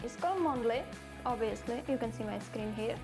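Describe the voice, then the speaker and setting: A woman's voice: fairly high pitch, expressive, fairly quick, music in the background. A young woman with a Czech accent, a host and native Czech speaker, giving a breezy, self-deprecating walkthrough of an app. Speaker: show host.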